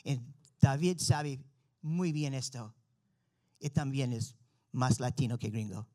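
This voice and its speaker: A man's voice: mid-range voice, takes it slowly, mellow. An American man, a native English speaker, giving an earnest missionary testimony and sermon to a church with an American accent. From Florida, United States. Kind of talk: testimony and preaching to a congregation.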